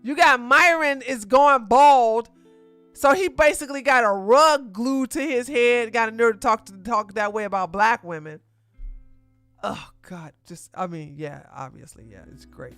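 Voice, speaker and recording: A woman's voice: a little high, measured pace, clear, resonant tone, dry recording, soft background music. Blunt, outspoken woman streamer. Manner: blunt, emphatic, breaks into loud indignant outbursts. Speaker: streamer reacting to a video. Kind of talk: casual reaction commentary.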